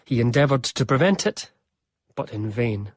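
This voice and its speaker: An actor playing a devoted, melancholy eighteenth-century gentleman biographer, narrating in earnest, literary first person. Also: mid-range voice, lively intonation, moderate pace, no echo, clean studio sound, lightly acted. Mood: sombre.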